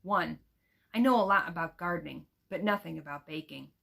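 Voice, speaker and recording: A woman reading aloud as an eager, earnest young girl writing letters home, in a careful, expressive storybook manner. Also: on the low side, fairly quick, gravelly, full character voice. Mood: shy, hopeful.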